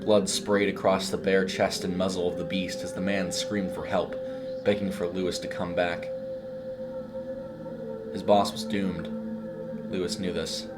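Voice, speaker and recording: A man's voice: low-pitched, little pitch movement, unhurried, pauses often, over loud music. A man reading a scripted horror short story as its narrator.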